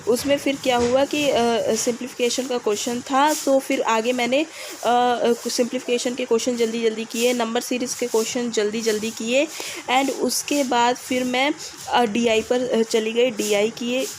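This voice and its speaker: A young woman from India with a hoarse voice and a fever, giving casual, hesitant exam advice with many pauses. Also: fairly high pitch, fairly even intonation, a little hiss or hum, poor audio. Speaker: exam candidate giving tips.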